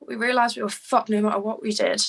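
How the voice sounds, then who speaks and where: A woman's voice: fairly high pitch, fairly even intonation, fast talker, husky. A woman playing a defiant, cornered suspect in a crime drama table read, speaking insistently. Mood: shaken.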